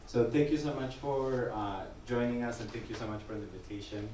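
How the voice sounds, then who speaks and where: A man from Mexico moderating a public museum panel, earnest, with a voice he calls loud. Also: medium pitch, fairly quick. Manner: says he has a loud voice; earnest. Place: museum gallery. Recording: echoey room, distant mic, noisy.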